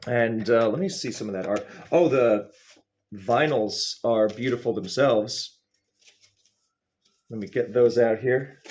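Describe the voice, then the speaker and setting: A man hosting a casual, rambling comedic video, speaking in a halting, digressive way with wry, mock-serious grand phrasing. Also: mid-range voice, darkish tone. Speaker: video host.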